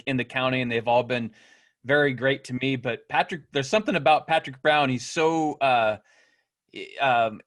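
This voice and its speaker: A man's voice: mid-range voice, fairly bright tone. A man of forty-one co-hosting a podcast, enthusiastic and full of praise as he asks his questions. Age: 40s. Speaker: podcast co-host. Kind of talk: casual podcast interview. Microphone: video call.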